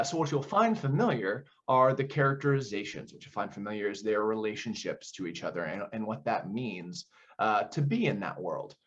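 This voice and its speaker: A man's voice: fairly low pitch, dull audio. A man answering interview questions conversationally as a director, explaining things and often posing rhetorical questions. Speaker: audio play director being interviewed.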